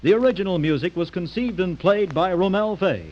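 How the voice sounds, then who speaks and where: A man's voice: high voice, animated, fairly quick, darkish tone. A man reading a scripted closing announcement as the announcer of a radio drama. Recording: muffled old radio recording with music. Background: music.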